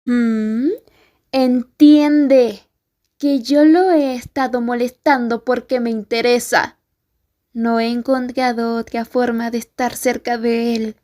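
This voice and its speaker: A woman's voice: a little high, steady tone, takes it slowly, dark tone. A woman playing a tough, jealous, self-assured schoolgirl bully with a mocking, provocative delivery in an ASMR roleplay. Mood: defiant. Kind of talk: ASMR roleplay acting in Spanish.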